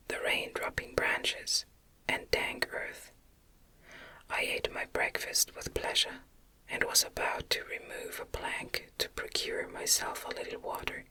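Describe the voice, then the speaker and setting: A woman reading a gothic novel aloud as ASMR, in a gentle, breathy, unvoiced whisper with careful, unhurried phrasing. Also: low-quality recording. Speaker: audiobook narrator, ASMR reader.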